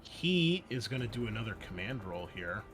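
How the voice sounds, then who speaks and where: A man's voice: fairly low pitch, very animated, moderate pace, a bit bright, soft background music. A man working as game master, narrating a tabletop roleplaying game in an informal, wry and exclamatory way.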